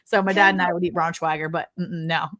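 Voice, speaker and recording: A woman co-hosting a livestream, chatting casually and telling animated personal stories in which she quotes other people. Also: fairly low pitch, fairly quick, a crowd in the background. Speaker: livestream co-host. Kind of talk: casual chat with anecdotes.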